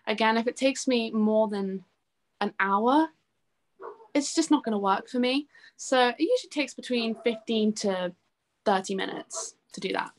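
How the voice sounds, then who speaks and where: A woman's voice: fairly high pitch, normal speed. Chatty young woman in an interview. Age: young adult. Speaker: musician interviewee. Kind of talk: casual interview chat.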